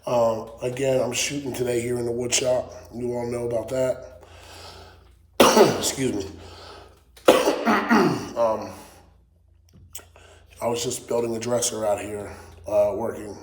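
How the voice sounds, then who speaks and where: A man's voice: medium pitch, level tone, slow, long pauses. Weak-voiced New man in echoey workshop. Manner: rambling, frequent ums and swearing. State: weak voice from recovering laryngitis. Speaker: channel host. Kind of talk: casual political rant to camera. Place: wood shop. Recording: echoey workshop with faint noise.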